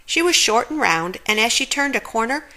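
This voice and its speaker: A woman reading a children's picture book aloud in an expressive storytelling style. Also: a little high, normal speed, low-quality recording. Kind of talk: expressive read-aloud narration.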